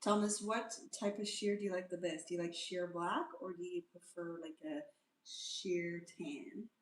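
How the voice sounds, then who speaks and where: A woman's voice: on the low side, steady tone, moderate pace, echoey room, slight background noise. A woman hosting a casual livestream, greeting viewers by name and humming little sing-song tunes between remarks. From South Africa. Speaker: livestream host.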